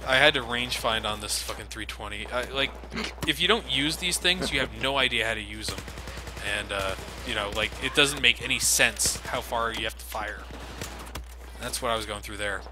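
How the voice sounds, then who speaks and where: A man's voice: medium pitch, animated, moderate pace. Sarcastic man commentating over noisy game combat. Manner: sarcastic, self-deprecating, profane. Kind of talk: casual let's play commentary. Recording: game combat noise behind voice. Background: video game gunfire and vehicles.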